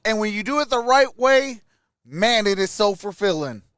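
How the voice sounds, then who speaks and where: A man's voice: high-pitched, animated, moderate pace, fairly bright tone, smooth, dry recording, clean studio sound. A man hosting a sports livestream, mixing casual play-by-play with chat in an emphatic way.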